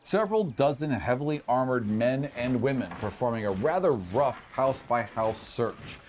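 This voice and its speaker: A man's voice: medium pitch, a little mellow, a little hiss or hum. A man improvising scene descriptions as game master of a tabletop fantasy game.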